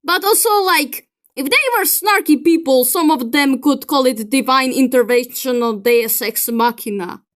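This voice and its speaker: A woman's voice: sits high, moderate pace, few pauses, a bit bright. A woman giving opinionated commentary in an exasperated, emphatic manner. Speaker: video creator. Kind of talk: commentary reacting to a film critique.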